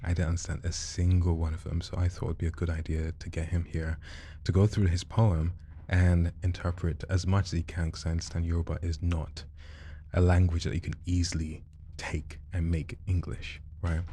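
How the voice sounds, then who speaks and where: Deep-voiced, mellow male podcast host. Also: level tone, moderate pace, breathy edge. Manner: upbeat, coaxing, conversational. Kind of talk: casual interview hosting. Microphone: studio microphone.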